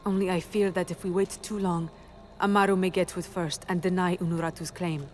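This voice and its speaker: An actress playing a proud, duty-bound rebel warrior who speaks formally and solemnly in a video game cutscene. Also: female voice, on the low side, level tone, brisk, darkish tone, slight background noise, lightly acted. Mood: grave, resolute. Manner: formal, declarative. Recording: music under the voice.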